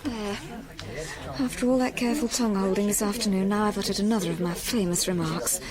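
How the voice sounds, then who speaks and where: An actress playing an outspoken, headstrong married farm woman in a radio drama, homely and expressive. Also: mid-range voice, brisk, crowd noise, close to an everyday voice. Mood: self-mocking. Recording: clear background noise of scene ambience.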